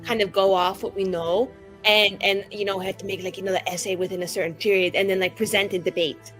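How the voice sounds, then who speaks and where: A woman plays an eccentric, scholarly young female mage in a tabletop roleplaying game. The voice is bright and mid-pitched, bubbly and chatty, stuffed with filler words. Soft music sits behind it. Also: flat delivery, a little fast, natural voice, low-quality recording. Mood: eager, reassuring. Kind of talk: improvised in-character tabletop roleplay.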